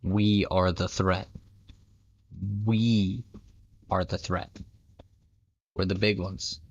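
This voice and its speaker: A man's voice: deep voice, little pitch movement, extremely slow. A man casually commenting on a clip he is watching, blunt and dismissive, laughing at it, recorded on a dull lo-fi mic.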